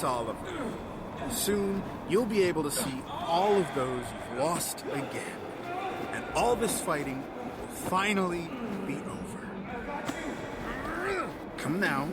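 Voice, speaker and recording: An actor playing an embittered, obsessive villain in an audio drama, speaking in a grandiloquent, theatrical way. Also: male voice, fairly high pitch, very noisy. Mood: gloating triumph.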